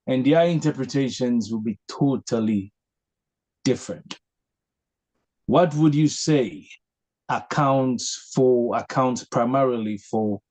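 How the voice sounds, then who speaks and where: A man hosting a reflective spiritual discussion over a video call, earnest and posing long searching questions with many pauses. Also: mid-range voice, a little mellow. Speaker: host leading a discussion.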